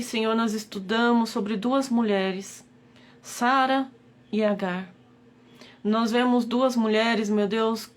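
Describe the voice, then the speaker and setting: Brazilian Portuguese woman, slightly high voice, pleading devotional prayer leader who keeps invoking God. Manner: pleading, repeated invocations of God. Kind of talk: devotional live-stream prayer.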